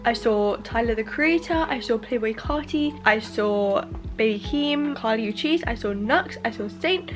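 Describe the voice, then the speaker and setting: A young woman chatting to camera in a casual vlog, rambling and self-mocking, recorded in her bedroom on a handheld camera. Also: a little high. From England. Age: young adult. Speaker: vlogger. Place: bedroom at home. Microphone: camera at arm's length.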